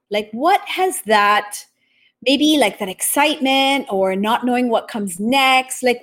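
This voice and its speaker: Slightly high-voiced woman podcast host. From Japan. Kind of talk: conversational interviewing.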